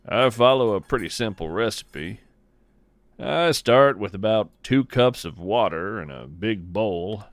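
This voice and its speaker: Male podcast narrator, folksy and poetic, unhurried delivery, very close dry sound. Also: on the low side, no echo. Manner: folksy, musing, poetic, deliberate phrasing. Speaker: podcast host. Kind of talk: scripted first-person narration in a fiction nature podcast.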